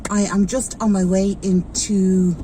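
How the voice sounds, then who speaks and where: Irish woman, 40s, rambling car-vlog chatter, mellow tone, faint background hiss, slightly blocked nose. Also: mid-range voice, level tone, unhurried. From Ireland. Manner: chatty, rambling, loses her thread. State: slightly stuffed up with a sore throat. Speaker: vlogger. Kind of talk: casual vlog chat while driving. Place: inside a car in traffic.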